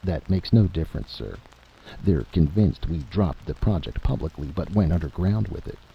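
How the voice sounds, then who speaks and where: A man as a grave, gravelly intelligence chief. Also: low voice, lively intonation, normal speed, heavily acted. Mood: grave urgency. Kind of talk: dramatic audiobook-style reading. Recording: dull lo-fi recording with noise.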